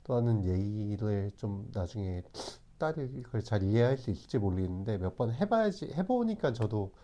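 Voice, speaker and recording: A man in his forties talking in a calm, reflective way, often pausing and restarting his sentences. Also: medium pitch. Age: 40s. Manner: calm, hesitant, with many restarts. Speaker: channel host. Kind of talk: reflective talk to camera.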